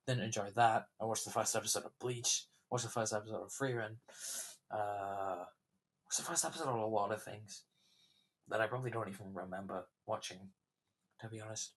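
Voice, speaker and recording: A young man hosting his own podcast, chatting casually and rambling with many fillers, his throat a little sore. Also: medium pitch. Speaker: podcast host. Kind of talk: casual solo podcast chat.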